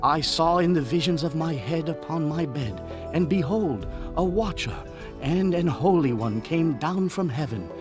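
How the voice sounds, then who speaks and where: Man acting a proud, high-voiced Babylonian king. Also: animated, unhurried, music in the background. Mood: troubled, solemn. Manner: declamatory, archaic formal phrasing. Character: Nebuchadnezzar, proud commanding Babylonian king moved to awe. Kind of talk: dramatized scripture reading.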